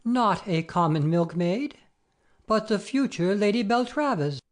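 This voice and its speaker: A woman's voice: mid-range voice, animated, unhurried, close to an everyday voice. A woman playing an earnest, dignified young lord in a dramatic audiobook, formal and stately. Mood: dignified, defiant.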